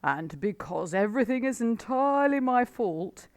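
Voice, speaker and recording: A woman voicing a sly, confident male fox who talks smoothly and persuasively in a storybook. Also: on the high side, lively intonation, normal speed, no echo, clean studio sound, voice pushed up, natural voice.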